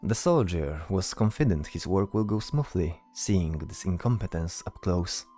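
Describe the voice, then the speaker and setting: A man's voice: low voice, animated, normal speed, dark tone, faint music behind. A man narrating a scripted fantasy story as the storyteller.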